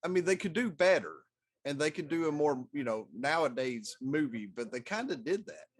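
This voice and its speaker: A man's voice: a little high, expressive, moderate pace. A middle-aged man chatting casually as a co-host on a talk show. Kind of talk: casual chat.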